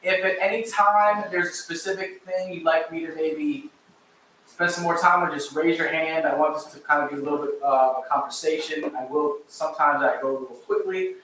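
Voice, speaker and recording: A man giving an informal history talk to a live audience, speaking loudly and conversationally and asking the room questions. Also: a little high, fairly quick, slight background noise. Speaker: guest speaker and local historian. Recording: very echoey room, distant mic.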